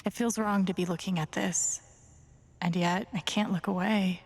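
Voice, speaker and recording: Game role: determined young woman sleuth, husky breathy voice, hushed and wry, naturalistic. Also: mid-range voice, steady tone, moderate pace. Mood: uneasy, intent. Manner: hushed, wry, thinking aloud. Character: wary, determined young woman investigating a cover-up. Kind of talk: naturalistic video game acting.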